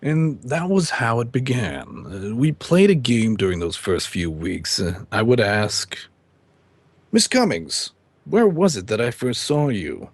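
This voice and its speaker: An actor playing a stern, middle-aged judge and weary husband, speaking formally and with measured delivery in an old-time radio drama. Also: male voice, mid-range voice, big pitch swings, slightly rough, dry recording, exaggerated voice. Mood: confiding. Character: stern male judge, weary husband confiding his downfall. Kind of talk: old-time radio drama acting and first-person narration.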